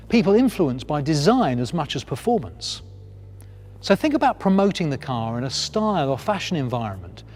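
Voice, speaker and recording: A man delivers scripted promotional narration in a slightly high voice that moves up and down with plenty of animation. His phrasing is polished and persuasive, leaning on key words for emphasis. Music plays along with him. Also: a little mellow, breathy edge. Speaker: presenter of a car sales training film.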